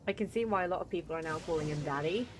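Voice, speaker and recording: Lower-pitched woman giving dry gaming commentary. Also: racing, background noise. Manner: dry, amused asides. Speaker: gaming YouTuber. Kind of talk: casual let's play commentary. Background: faint game music.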